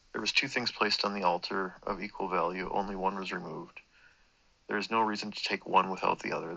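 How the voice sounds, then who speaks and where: A man's voice: low-pitched, creaky, bright, forceful tone, breathy edge, dull audio. A man with a sore throat telling a long personal story, meandering and correcting himself, swearing casually. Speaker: vlogger. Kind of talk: rambling personal monologue.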